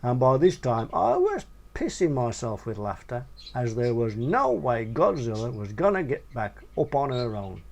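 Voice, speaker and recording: A man's voice: medium pitch, big pitch swings, moderate pace, creaky, hardly any pauses, darkish tone. A man with a British accent reading a comic first-person short story in a theatrical, sweary way.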